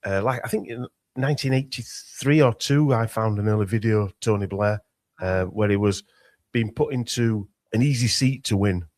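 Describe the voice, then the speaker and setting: A man's voice: on the low side, normal speed, darkish tone, no echo. Rambling Yorkshire man, dry sound. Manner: rambling, many restarts and fillers. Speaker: author and guest. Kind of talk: conversational interview.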